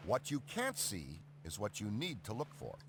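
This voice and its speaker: An actor playing an earnest, animated ghost-hunting scientist who explains things in a scripted video game. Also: male voice, lowish voice, normal speed. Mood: wary. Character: upbeat, earnest male ghost-hunting scientist, park adviser.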